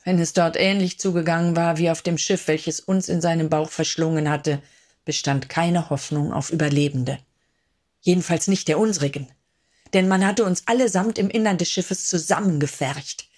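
A woman narrating as a sorrowful survivor of a shipwreck in a radio play, sombre and measured. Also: lowish voice, darkish tone, lightly acted.

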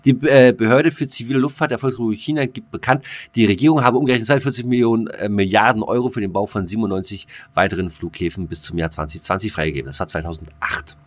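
A man's voice: lowish voice, quick pace, few pauses. A man co-hosting a casual podcast, rambling and joking with many fillers. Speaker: podcast co-host.

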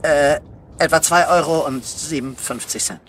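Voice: medium pitch, normal speed, fairly bright tone, slight background noise, lightly acted. An actor voicing a snappish, sarcastic little talking animal in a comic audio play. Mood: matter-of-fact.

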